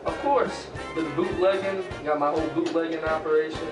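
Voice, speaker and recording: A man's voice: a little high, steady tone, moderate pace, natural voice. A young man playing a curt, evasive 1920s crime boss in an amateur mock radio interview, answering in clipped, defiant phrases. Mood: curt, boastful. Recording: roomy distant mic under loud music. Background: instrumental music.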